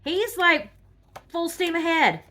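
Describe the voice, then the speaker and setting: A woman reading tarot cards in a casual, halting monologue full of fillers, sounding congested from a cold. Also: extremely high pitch, animated, sharp tone, a little hiss or hum. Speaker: tarot reader.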